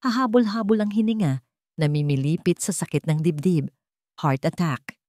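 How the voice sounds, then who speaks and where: A woman's voice: lowish voice, moderate pace, darkish tone, dry recording, studio quality. A woman reading a scripted dramatic story as a narrator.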